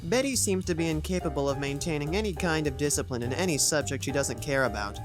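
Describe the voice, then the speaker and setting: A man's voice: on the high side, fast talker, music in the background, lightly acted. A man playing an earnest, concerned young friend and assistant in an audio drama. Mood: candid, concerned.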